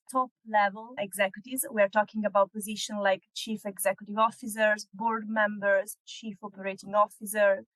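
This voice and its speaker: Woman guest in her thirties, mid-range voice, measured explainer, dry recording. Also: mid-range voice, fairly bright tone, no room sound, low-quality recording. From northern Italy. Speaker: guest, executive search consultant. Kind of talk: casual interview chat.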